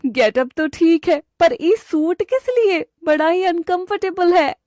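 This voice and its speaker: A woman acts out a young cartoon wife, suspicious and full of complaint. Her voice is high and whiny, with dramatic pleading. The sound is close and dry. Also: expressive, measured pace, darkish tone, no room sound. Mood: anxious. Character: young suspicious, plaintive wife and daughter-in-law.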